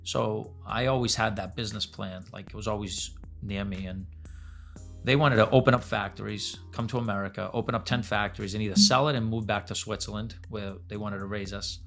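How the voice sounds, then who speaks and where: Man, 40s, podcast guest; rapid, low-pitched, candid and profane storyteller. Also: steady tone, vocal fry, a bit bright, soft background music. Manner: blunt, casual, swears freely. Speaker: podcast guest, pasta business owner.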